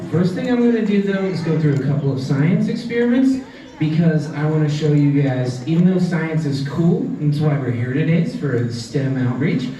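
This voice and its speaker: A man's voice: fairly high pitch, warm tone, slight background noise. A young man giving a live science demonstration to a crowd, explaining each step in an upbeat way to the kids watching. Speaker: science educator. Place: large indoor event hall. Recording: echoey hall, far from the mic, crowd behind. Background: crowd chatter.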